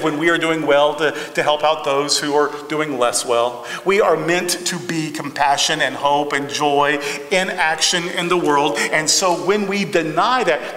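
A man's voice: on the high side, sharp tone. A middle-aged man preaching a sermon in a large church sanctuary, emphatic and rhythmic, building phrases through repetition. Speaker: pastor. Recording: echoey hall reverb.

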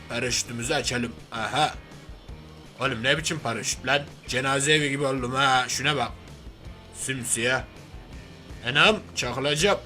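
A man's voice: mid-range voice, bright, forceful tone, natural voice. A man voicing a crude, hot-headed, hairy oaf of a superhero in a comic game cartoon, with brash, slangy, grumbling street talk. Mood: grumbling, agitated. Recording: music behind the voice.

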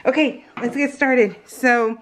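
An American woman chatting casually as she narrates a craft project, rambling and giggly, with slightly unusual soft R sounds. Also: on the high side, fairly even intonation, slow, slight background noise. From California, US. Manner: giggly, rambling, self-interrupting. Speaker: craft vlogger. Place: indoors at home, at a craft table.

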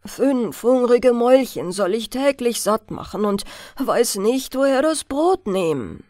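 A woman's voice: fairly high pitch, animated, a little slow, warm tone, no room sound, studio quality. A woman voicing a poor, worried, good-hearted woodcutter and father in a storybook, with an earnest, humble manner. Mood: troubled.

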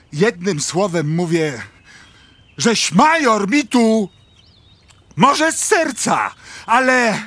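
Frantic high-pitched aristocrat. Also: male voice, bright, forceful tone, no echo, exaggerated voice. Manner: blustering, exclamatory, halting theatrical delivery. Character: frantic, indignant aristocratic father, a Polish count. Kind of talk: theatrical radio drama acting.

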